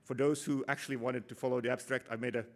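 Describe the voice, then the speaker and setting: A man's voice: medium pitch, little pitch movement, quick pace. A middle-aged man from Finland giving a conference talk, casual and self-deprecating, with many fillers and restarts. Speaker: conference speaker, operations manager. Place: conference room.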